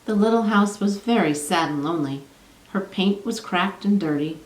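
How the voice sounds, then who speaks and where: A woman's voice: medium pitch, moderate pace. A woman reading a children's storybook aloud as narrator. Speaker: storybook reader. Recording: echoey room, distant from mic.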